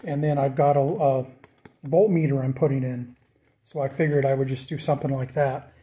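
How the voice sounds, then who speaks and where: A man giving a casual, off-the-cuff walkthrough of a DIY project, informal and thinking out loud as he goes. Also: mid-range voice, steady tone, normal speed. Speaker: DIY vlogger presenting a build.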